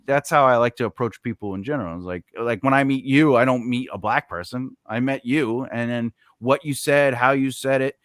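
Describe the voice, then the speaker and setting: A man's voice: medium pitch, a little fast, hardly any pauses, dry recording, clean studio sound. A man hosting a casual podcast chat, rambling with lots of fillers and restarts. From United States. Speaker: podcast host.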